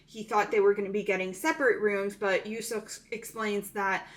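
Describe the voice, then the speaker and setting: A woman's voice: mid-range voice, steady tone, normal speed. A woman casually recapping a thriller movie plot, chatty and full of "um" fillers.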